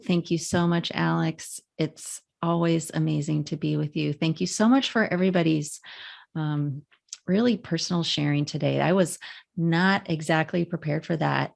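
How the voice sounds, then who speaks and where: Deep, gravelly woman hosting a webinar. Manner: warm-hearted, chatty, many fillers. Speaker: webinar host. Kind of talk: closing remarks. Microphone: video call.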